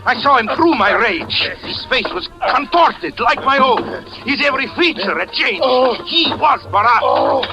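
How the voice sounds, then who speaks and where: A man's voice: very high voice, very animated, unhurried, music in the background, heavily acted, low-quality recording. An actor in 1940s radio acting style, playing a haunted man who breathlessly recounts his own murder. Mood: dread. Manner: intense, breathless dramatic narration.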